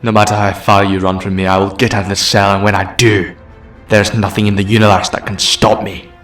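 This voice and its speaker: A man's voice: lowish voice, flat delivery, a little fast, fairly bright tone, close to an everyday voice. A man playing a defiant, imprisoned time-travelling alien hero in a fan audio drama, wry and resolute. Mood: angry, determined. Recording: echoey, long reverb, music underneath.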